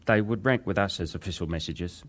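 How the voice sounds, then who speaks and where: Male actor as young British wireless operator, deep voice, formal, deferential, exact, dramatized testimony. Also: a little fast, natural voice. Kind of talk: dramatized reading of hearing testimony.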